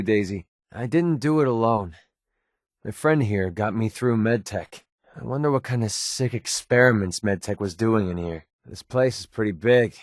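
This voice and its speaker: An actor playing a wry, cocky young mercenary in a video game, with a sardonic, casual, colloquial delivery. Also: male voice, mid-range voice, dry recording, clean studio sound, natural voice. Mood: hopeful, then wary, wry. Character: wry young male mercenary, cocky, wary, protective father. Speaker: video game companion character. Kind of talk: video game voice acting, casual banter lines.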